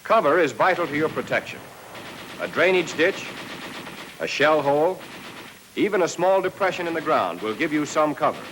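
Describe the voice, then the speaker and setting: A man's voice: fairly high pitch, animated, normal speed, long pauses, a bit bright, slight background noise. 1940s American military training film narrator, male. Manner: instructional, addressing trainees directly.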